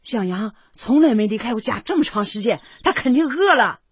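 Husky, fretful doting mother. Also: fairly high pitch, expressive, a little fast, no echo, lo-fi sound. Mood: coaxing, worried. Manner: homely, fretful, coaxing. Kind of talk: radio drama acting.

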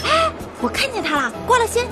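A woman's voice: very high voice, sing-song, fairly quick, loud music behind the voice. A woman acting a scatterbrained, outspoken young food lover in a radio drama, chatty and impulsive. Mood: chatty, upbeat. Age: young adult.

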